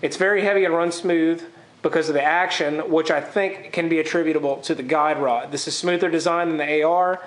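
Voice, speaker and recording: Higher-voiced man with a cold, echoey room. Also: steady tone, brisk. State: congested with a cold. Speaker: gun reviewer. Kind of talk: informal product review to camera. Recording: echoey, roomy sound.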